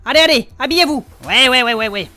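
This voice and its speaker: Lady doing a small bashful duck guy in love, bright high-ish voice, excitable, fantasy comedy audio. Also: fast. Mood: brisk. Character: small, lovestruck, bumbling male duck adventurer. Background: music.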